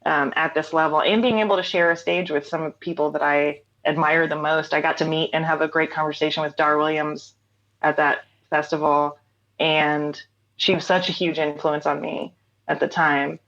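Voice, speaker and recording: A woman's voice: sits low, fairly even intonation, moderate pace, a bit bright, lo-fi sound. A woman in her forties, a singer-songwriter guest telling stories in a casual interview, laughing often. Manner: chatty, laughs often, storytelling.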